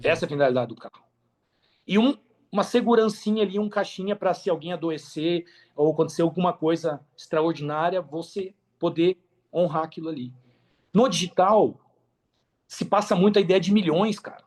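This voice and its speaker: Brazilian male podcast guest, high voice, casual and emphatic delivery. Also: a little fast, darkish tone. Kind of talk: casual interview talk.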